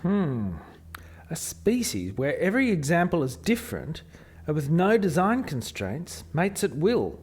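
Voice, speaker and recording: A man plays a sceptical assessor in a radio drama, dryly disapproving. The voice is husky and breathy, with animated pitch movement. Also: unhurried, exaggerated voice. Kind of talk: radio play acting.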